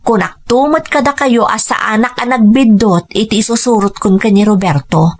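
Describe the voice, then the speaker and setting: High-pitched ambitious young Filipino woman, played by an actress. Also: moderate pace. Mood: proud, insistent. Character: ambitious young woman kept by a wealthy married man. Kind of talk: Ilocano audio drama acting.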